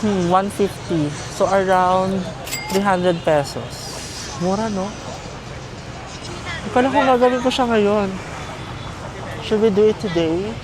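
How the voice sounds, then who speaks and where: A woman's voice: medium pitch, a little slow, long pauses. A woman with a Filipino accent chatting casually and playfully in short remarks, as a travel vlogger. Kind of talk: casual vlog chat mixing Tagalog and English. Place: out and about in eateries and city streets. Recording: background music, crowd and street noise.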